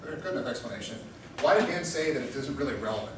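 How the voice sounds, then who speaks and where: Fast-talking young man lecturing in echoey room. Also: a little high, lively intonation, far from the mic. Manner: joking, rapid-fire, quizzes students. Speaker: debate theory lecturer. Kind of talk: informal classroom lecture. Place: classroom. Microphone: far room mic. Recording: echoey room recorded from afar with noise.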